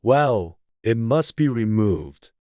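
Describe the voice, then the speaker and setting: A man's voice: medium pitch, lively intonation, slow pace, mellow, dry recording, clean studio sound. A man voicing a haughty, fussy and easily affronted king in a pompous manner for a dramatized audiobook. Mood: affronted, decided.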